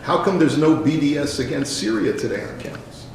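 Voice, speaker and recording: A man's voice: on the high side, a little fast, gravelly, a little mellow, slight background noise. A man speaking at a public dialogue, a rabbi who hesitates with fillers and then presses his point. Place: event hall. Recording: very echoey hall with faint ambience.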